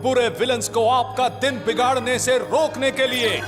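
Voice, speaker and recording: An excitable superhero-show announcer. He delivers theatrical rhyming narration in a high, showy voice. Music plays clearly behind him. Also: normal speed. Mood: dramatic. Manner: dramatic, showy. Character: grand, excitable male announcer introducing child superheroes.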